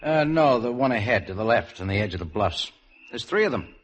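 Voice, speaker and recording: Actor as an easygoing young man, animated intonation. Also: mid-range voice, fairly quick, natural voice. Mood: easygoing, reluctant. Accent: North American. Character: mild, reticent, easygoing young man on holiday. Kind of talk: 1950s radio drama acting. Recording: noisy 1950s radio drama, outdoor night ambience.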